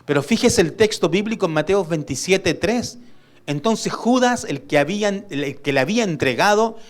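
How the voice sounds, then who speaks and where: A man's voice: high-pitched, lively intonation, moderate pace. A man preaching a sermon as pastor. He puts questions to the congregation and draws out key words for weight. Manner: emphatic preaching that asks the congregation for responses; swings between loud emphasis and soft asides.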